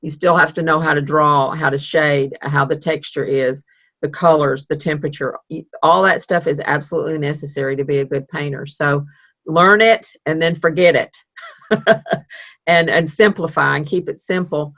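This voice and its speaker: Low-voiced chatty woman art teacher. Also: fairly even intonation, moderate pace, a bit bright. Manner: chatty, self-deprecating, upbeat. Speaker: art instructor. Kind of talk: online art class teaching. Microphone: video call.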